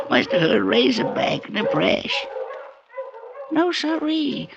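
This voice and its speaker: An actor playing a kindly, humble freed slave with a folksy American Southern accent in a 1940s radio drama. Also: male voice, very high voice, sing-song, gravelly, exaggerated voice. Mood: excited, bustling. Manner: folksy, drawn-out, exclaiming. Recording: dull 1940s radio recording.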